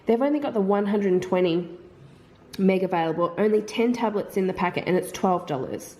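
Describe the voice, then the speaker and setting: Aussie mum rambling about her shopping haul, bit nasally from hay fever, average pitch, faint hiss behind. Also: a little mellow. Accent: Australian. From Australia. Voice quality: slightly nasal. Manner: chatty, rambling, thinks aloud about prices. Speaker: mum vlogger. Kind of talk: casual product haul chat. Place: home.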